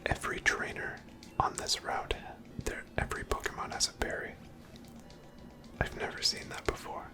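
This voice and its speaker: A person whispering softly and breathily, giving gentle, casual ASMR commentary while playing a video game. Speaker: host of a gameplay series.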